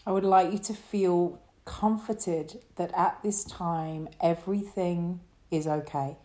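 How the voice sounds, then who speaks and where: A woman leading a guided relaxation meditation as a yoga teacher, speaking in a soothing, gentle way. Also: lowish voice, fairly even intonation. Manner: soothing, gentle, unhurried instruction.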